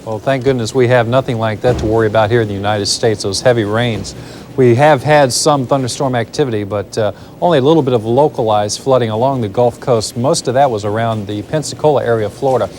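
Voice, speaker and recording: Brisk male TV weatherman. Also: medium pitch, a little mellow, slight background noise. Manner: brisk, loose delivery with fillers. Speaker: weather presenter. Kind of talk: live TV weather forecast, ad-libbed.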